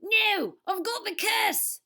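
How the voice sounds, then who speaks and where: A haggard, tubby male survivalist from a storybook, acted out by a woman. The voice is hoarse and forceful, pushed high, with a bogus Australian accent. He is gruff and easily panicked. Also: animated, moderate pace, full character voice. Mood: wild, haggard. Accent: fake Australian.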